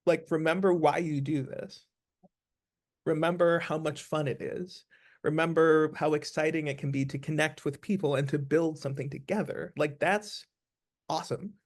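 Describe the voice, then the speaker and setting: A man's voice: a little high, fairly even intonation, moderate pace. A man speaking as an interview guest, giving advice conversationally in an earnest, emphatic way, repeating phrases for stress.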